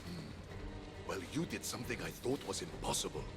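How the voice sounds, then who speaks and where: A man's voice: low voice, big pitch swings, a little fast, music in the background. A man playing a melodramatic, boastful war god trapped in a bird's body in a video game. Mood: skeptical. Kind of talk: video game cutscene acting.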